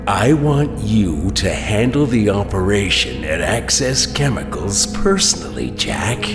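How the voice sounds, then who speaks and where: Scheming mob boss, deliberate and lively in pitch, long reverb. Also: male voice. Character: Carl Grissom, older male crime boss, scheming. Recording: echoey room under loud music.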